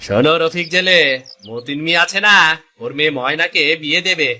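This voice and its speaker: A man's voice: on the high side, fairly quick, pushed and bright, a little hiss or hum. A man voicing a greedy, envious, suspicious fisherman in a cartoon. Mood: scheming. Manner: suspicious, questioning. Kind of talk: Bengali cartoon voice acting.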